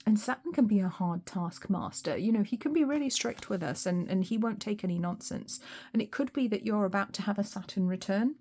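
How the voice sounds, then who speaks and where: A woman reading tarot cards in a relaxed solo session, her voice a little weak and hoarse, musing aloud with frequent ums. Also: mid-range voice, a little fast, hardly any pauses. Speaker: tarot reader.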